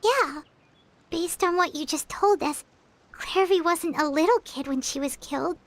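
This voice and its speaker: A woman voicing a small, childlike fairy companion in a video game, with a squeaky voice and an earnest, questioning manner. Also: a little slow, fairly bright tone, full character voice. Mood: curious. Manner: naive, questioning.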